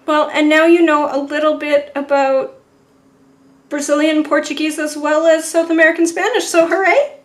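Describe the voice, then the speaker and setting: A woman's voice: sits high, steady tone, normal speed. A woman hosting a live watercolour painting stream, chatting with viewers in a rambling, laughing way. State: sore neck.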